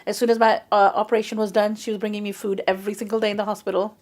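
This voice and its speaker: A chatty woman tells stories at an easy, medium pitch. She is animated and acts out what others said, as in relaxed conversation. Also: rapid-fire. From England. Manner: chatty, animated storyteller who acts out quoted speech. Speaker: guest. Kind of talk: casual storytelling in conversation.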